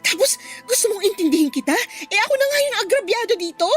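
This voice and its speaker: A woman's voice: very high voice, big pitch swings, fast talker, faint music behind. A woman acting a hurt, furious young woman in a Filipino radio drama, with an emphatic, accusing delivery. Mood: angry, accusing. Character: hurt, furious young woman confronting her cheating boyfriend.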